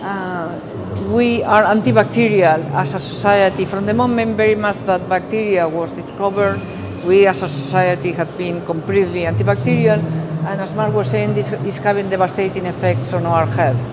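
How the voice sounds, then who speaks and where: A woman with a Spanish accent walks listeners through an exhibition she curated. Her delivery is halting and explanatory, at a middle pitch. A clear ambient hum of the gallery sits behind her voice. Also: steady tone. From Spain. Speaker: exhibition curator, architecture professor. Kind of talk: guided exhibition walkthrough.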